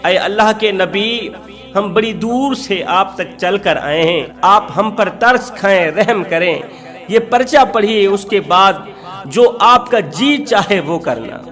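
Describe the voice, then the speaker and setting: A male preacher plays an anxious villager in a religious tale. The voice is very high, humbly and respectfully begging a holy man for help. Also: slow pace, a bit bright. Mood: pleading. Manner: beseeching, respectful. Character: pleading villagers petitioning a prophet, humble and anxious.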